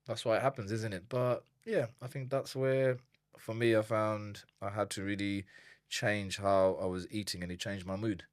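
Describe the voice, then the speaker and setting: Guest in his thirties from Zimbabwe, deep voice, dry close sound; talks animatedly, doubling words as he gathers thoughts. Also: moderate pace, dry recording. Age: 30s. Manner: animated, repeats words when thinking. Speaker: nutrition coach guest. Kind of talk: casual interview chat.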